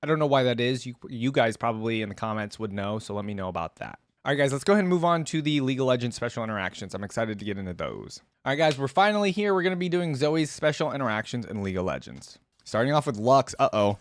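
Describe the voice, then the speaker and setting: A man hosts a reaction video in a chatty, informal way. His voice sits at a middling pitch and is recorded dry and close to the microphone. He hedges often and sounds easily amused. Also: very animated, fast talker, hardly any pauses, no room sound. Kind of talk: casual reaction commentary.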